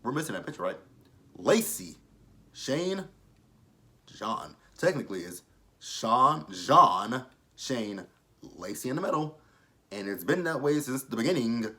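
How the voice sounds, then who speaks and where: A man's voice: mid-range voice, big pitch swings, unhurried, long pauses, bright, forceful tone. A man of thirty giving a casual, blunt and opinionated review of a TV episode, swearing freely. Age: 30s. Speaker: TV show reviewer. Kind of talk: casual review vlog commentary.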